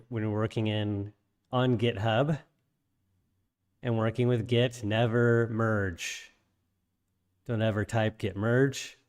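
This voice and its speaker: Low, slightly raspy male instructor; casual, halting explainer full of ums and restarts. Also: a little mellow. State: slightly raspy after illness. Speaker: programming instructor. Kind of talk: screen-share coding tutorial.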